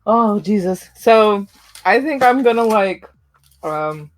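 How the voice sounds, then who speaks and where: A woman's voice: medium pitch, expressive, takes it slowly. A young woman co-hosting a podcast, chatting casually, rambling with lots of fillers and giggles. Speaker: podcast co-host. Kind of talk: casual chat.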